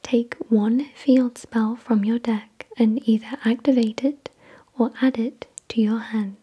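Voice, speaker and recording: A woman whispering softly and breathily in ASMR style, reading aloud in a deliberate, measured way. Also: a little high, fairly even intonation, husky, muffled recording. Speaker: ASMR video creator and host. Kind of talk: soft-spoken ASMR, reading card text aloud.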